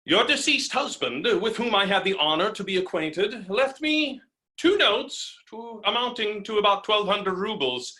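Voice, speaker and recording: Actor as retired officer, stage comedy: high-pitched, bright and forceful man, pompous, formal, blustering. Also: lively intonation, unhurried, exaggerated voice. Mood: pompous insistence.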